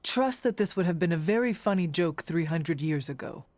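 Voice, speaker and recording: A woman's voice: on the low side, animated, normal speed, darkish tone, no echo, lightly acted. A woman playing an earnest, formal warrior from centuries ago in a video game. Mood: dry, earnest. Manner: formal, stiff, no contractions.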